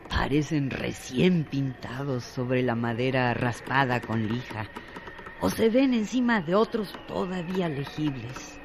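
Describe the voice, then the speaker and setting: A man narrating a radio drama from a literary script, with deliberate, dramatic phrasing and pauses. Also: on the high side. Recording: noisy recording with clear background noise.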